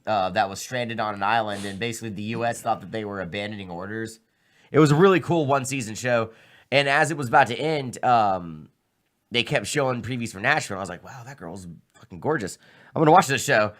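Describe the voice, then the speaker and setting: A man's voice: on the low side, a bit bright. A man hosting a casual podcast chat with friends, talking in a rambling way full of fillers and restarts. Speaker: podcast host.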